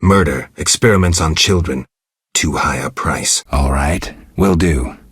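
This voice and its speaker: World-weary male monster hunter for a fantasy game. Rock-bottom pitch, restrained terse delivery, close dry sound. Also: a little slow, a bit bright, no echo, heavily acted. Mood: dry, guarded. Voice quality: gravelly, husky. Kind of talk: video game character dialogue.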